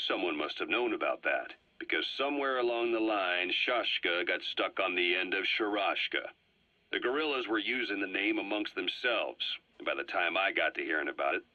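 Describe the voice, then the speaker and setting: An actor playing a sly, composed Soviet spy in a video game, speaking in a low husky voice with measured dramatic pauses on a cassette recording. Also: male voice, bright, forceful tone, no room sound, full character voice. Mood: wry, composed. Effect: cassette tape filter. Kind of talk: scripted dramatic game dialogue, recounting history. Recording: dull, boomy cassette tape playback.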